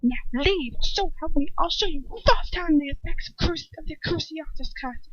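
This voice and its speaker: A woman's voice: sits high, moderate pace, pitched above the performer's own voice, lightly acted. A woman voicing a cold, furious, domineering wizard father in a story reading, with stern clipped commands and hissed contempt. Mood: stern fury. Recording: dull lo-fi home recording.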